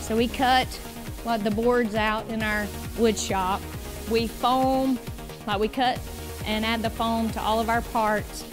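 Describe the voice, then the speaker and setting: A woman in her forties giving a brisk, explanatory walking tour of a factory workshop. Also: on the high side, steady tone, over music. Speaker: boat company guide. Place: large factory workshop indoors.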